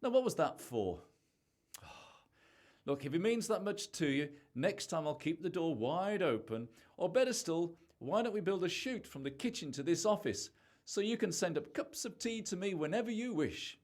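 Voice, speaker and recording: A man's voice: sits high, big pitch swings, moderate pace, darkish tone. A man in an amateur radio play acting a defensive, sarcastic husband who is hiding something. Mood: irritated, sarcastic. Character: evasive, irritable husband hiding a secret.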